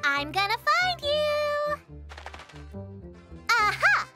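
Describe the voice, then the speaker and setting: A woman's voice: very high voice, very animated, extremely slow, exaggerated voice. A woman voicing a confident, bubbly young girl fox in a children's cartoon. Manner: bubbly, self-assured. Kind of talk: animated children's cartoon acting. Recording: music behind the voice.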